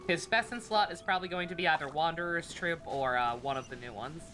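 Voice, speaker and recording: A woman's voice: low-pitched, a little fast, a bit bright. A woman doing casual live game commentary as a streamer, chatty, sarcastic and sweary. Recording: game music behind voice. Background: video game music.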